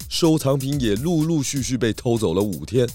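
A man with a Taiwanese accent voicing a clever, calm pig detective in a children's audio drama, patiently explaining clues. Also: mid-range voice, moderate pace, faint music behind, close to an everyday voice. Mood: confident explaining.

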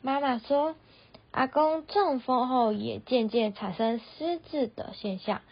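A woman's voice: on the high side, gravelly, slightly rough. A primary school girl reading a scripted personal account aloud. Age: child, primary school pupil. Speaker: student essay author. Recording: slightly dull lo-fi recording.

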